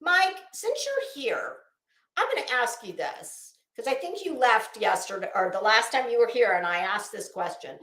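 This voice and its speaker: High-pitched, scornful American woman ranting. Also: big pitch swings, normal speed, a bit bright. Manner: scornful, sarcastic, emphatic with rhetorical questions. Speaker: online livestream host. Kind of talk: ranting livestream commentary, casual and profane. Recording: roomy, echoing sound.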